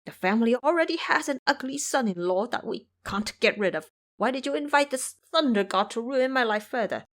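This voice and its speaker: A woman's voice: a little high, expressive, a little fast, natural voice. A woman voicing an irritable, anxious old male country squire, scolding and fretful, in an audio drama. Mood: fearful scolding.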